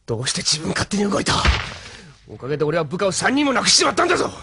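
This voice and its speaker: A man's voice: high voice, huge pitch swings, racing, creaky, pushed and bright, exaggerated voice. A man acting a furious, hot-headed squad commander in a Japanese audio drama.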